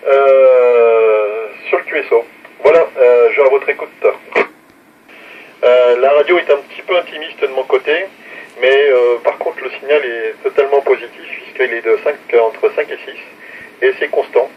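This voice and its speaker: A man's voice: medium pitch, normal speed. A man speaking as an amateur radio operator, giving signal reports in a courteous, methodical way over a two-way radio. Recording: noisy, muffled two-way radio transmission.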